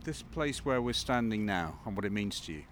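A man interviewing a poet outdoors, asking measured, prompting questions. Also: fairly low pitch, lively intonation, fairly bright tone, a little hiss or hum. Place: outdoors near a city church.

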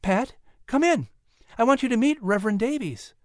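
A proud, gracious colonial mother, voiced by a man. She speaks in a warm, raised voice with polite ease. The sound is dry and close. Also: extremely high pitch, lively intonation, moderate pace, no room sound, pitched above the performer's own voice.

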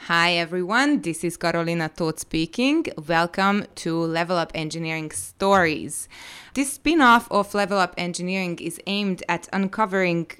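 A woman's voice: fairly low pitch, moderate pace, a bit bright, clean tone. A woman hosting an interview podcast, reading friendly introductions and asking questions with slight hesitation. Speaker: podcast host.

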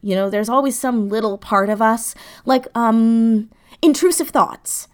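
Thoughtful, halting woman in casual conversation. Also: on the high side. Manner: thoughtful, halting, circles back over phrases. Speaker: interview guest, audio drama creator. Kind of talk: casual, reflective interview chat.

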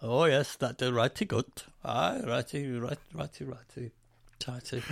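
A man's voice: medium pitch, unhurried, creaky. A man putting on a jokey foreign shopkeeper. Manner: comic mock accent.

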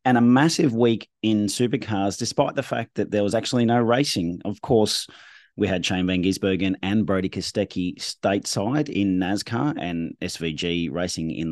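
Hoarse man hosting a podcast. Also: on the low side, a little mellow, no echo, clean studio sound. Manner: conversational, measured. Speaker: podcast host. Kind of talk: casual motorsport chat.

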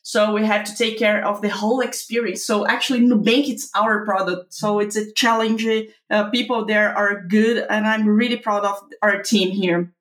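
A woman's voice: medium pitch, steady tone, normal speed, hardly any pauses. A woman, a manager speaking as an interview guest, enthusiastic and using simple grammar. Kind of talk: casual interview talk. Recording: roomy echo.

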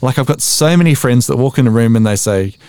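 A man's voice: medium pitch, expressive, fast, darkish tone, clear, resonant tone, dry recording. An Australian man in his forties chatting casually as a podcast guest, self-deprecating, telling stories and acting out quotes as he goes. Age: 40s. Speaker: voice-over artist being interviewed.

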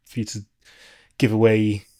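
A man with a fairly low voice hosting an industry podcast. He builds his questions in a rambling, hesitant way, restarting phrases as he searches for the right wording. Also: monotone, normal speed, dark tone. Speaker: podcast host. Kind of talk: interview questions.

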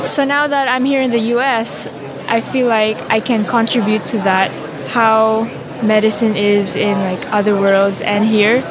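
A young premed woman from the Philippines, chatting eagerly in an outdoor interview. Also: fairly high pitch. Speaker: premed student. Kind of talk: vox pop interview. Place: outdoor event. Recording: outdoor event with crowd chatter. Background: crowd chatter.

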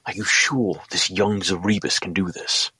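A man's voice: deep voice, steady tone, moderate pace, heavy background noise, lightly acted. A man playing a grave, protective alien rebel leader in an audio drama, terse and urgent. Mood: wary, urgent.

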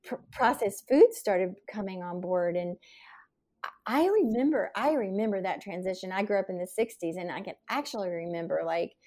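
A woman's voice: mid-range voice, normal speed, warm tone. A woman in her sixties hosting an interview, chatting and reminiscing casually with many fillers. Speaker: podcast host.

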